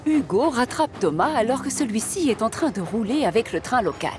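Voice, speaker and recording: A woman's voice: fairly high pitch, big pitch swings, fairly quick. A woman narrator reading scripted storybook narration for a children's cartoon. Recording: music behind.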